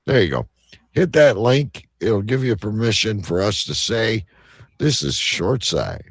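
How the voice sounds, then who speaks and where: A man hosting a live show with casual banter and a rough, gravelly voice. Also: lowish voice, sing-song, a little slow, no echo, clean studio sound. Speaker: host of a live online show.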